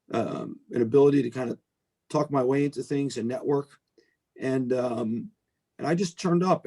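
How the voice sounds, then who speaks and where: Middle-aged male guest speaker, American accent, relaxed dark timbre, informal video-call talk. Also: mid-range voice, slightly rough. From United States. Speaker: venture capitalist, guest speaker.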